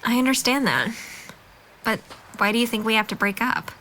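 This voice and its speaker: A woman's voice: fairly high pitch, moderate pace, sharp tone, slight background noise. A young woman playing a candid, level-headed girlfriend in a breakup drama, direct and composed. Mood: questioning, hurt. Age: young adult. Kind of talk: naturalistic dramatic dialogue.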